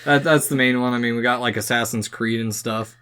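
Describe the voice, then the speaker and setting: A man's voice: mid-range voice, fairly even intonation, brisk, pushed and bright, smooth. Man in casual podcast discussion. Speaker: podcast co-host. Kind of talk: casual, opinionated film discussion.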